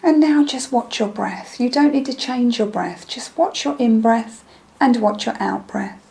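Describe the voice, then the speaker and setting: A woman leading a guided meditation in a gentle, soothing, unhurried manner, with phrases that trail off softly. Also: on the high side. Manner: soothing, gentle, unhurried instruction with soft breathy phrase endings.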